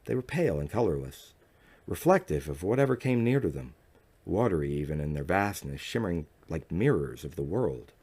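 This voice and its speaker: A low-voiced man reads scripted fiction aloud. He narrates in the first person as an audiobook reader. His delivery is measured and dramatic. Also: big pitch swings, warm tone, breathy edge.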